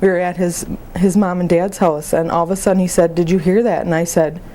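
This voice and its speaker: Woman acting a grieving, low-voiced young mother. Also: fairly even intonation, mellow, close to an everyday voice. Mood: weary, grieving. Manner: halting, plainspoken, confiding. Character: grieving young mother, sad, exhausted, openly hurting. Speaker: grieving mother on a follow-up support call. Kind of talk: role-played counseling phone conversation.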